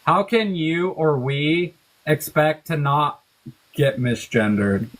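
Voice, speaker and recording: A man's voice: fairly high pitch, takes it slowly, a bit bright, rough recording. A man interviewer asking a direct, probing question.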